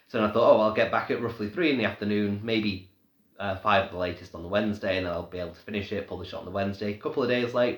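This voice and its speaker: A young man who is still ill talks in a slightly nasal, lowish voice, rambling through a casual live stream update with frequent ums and false starts. The sound is roomy and echoey, as if recorded in a bare room. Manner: rambling, many ums and restarts. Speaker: paranormal blogger.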